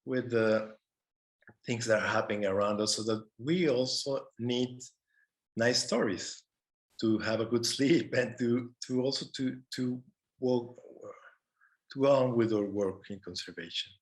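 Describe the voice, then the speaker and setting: Slow-speaking man on a panel. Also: medium pitch. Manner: earnest, halting with repeated words. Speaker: panelist, conservation worker. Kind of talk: prepared but informal panel talk. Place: online video call.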